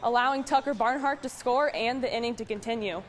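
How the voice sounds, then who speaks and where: Female TV sports reporter, scripted news narration, voice on the high side. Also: brisk.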